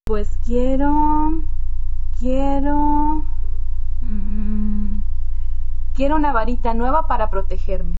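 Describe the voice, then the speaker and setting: A woman's voice: a little high, extremely slow, long pauses, a little mellow, soft background music. A woman playing a timid, shy young prefect in an amateur radio play, speaking hesitantly. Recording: dull home recording, faint noise.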